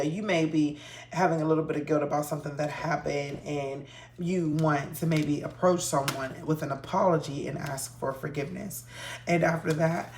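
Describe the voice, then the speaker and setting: Female tarot host, hoarse, easygoing and chatty; lowish voice, roomy sound with faint hiss. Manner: relaxed, chatty, with frequent ums. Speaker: tarot reader. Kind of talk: casual tarot reading to viewers.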